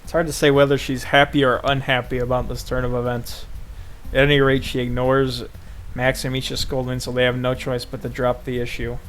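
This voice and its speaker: A man reading visual novel narration aloud unrehearsed, halting and stumbling over the text now and then. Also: mid-range voice, monotone, fairly bright tone, slight background noise. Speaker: narrator in a group playthrough.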